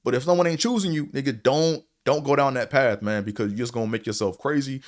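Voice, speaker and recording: A man with a deep voice and a slight stutter, giving a blunt, profane, rambling opinion monologue as a show host, full of fillers. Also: fast talker. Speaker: show host reviewing a series.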